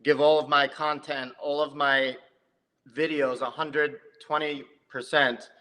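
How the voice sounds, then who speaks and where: Bright-voiced man in a reverberant hall. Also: fairly high pitch. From New Jersey, USA. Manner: lively, laughs readily, quick to tell stories. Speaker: guest, comedic video creator. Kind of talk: casual interview chat.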